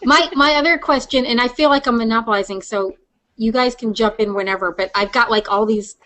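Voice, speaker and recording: A woman's voice: fairly high pitch, a little fast, sharp tone, poor audio. A woman hosting a podcast in casual conversation, rambling and inquisitive, rephrasing her questions as she talks. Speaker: podcast host. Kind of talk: casual interview chat.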